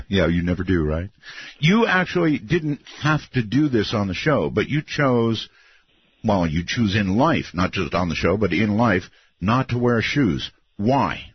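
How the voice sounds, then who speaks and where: Deep-voiced older radio host. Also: male voice, sing-song, husky. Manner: laughs often, drawn-out questions. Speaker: radio talk show host. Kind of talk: late-night radio interview. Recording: muffled lo-fi radio archive.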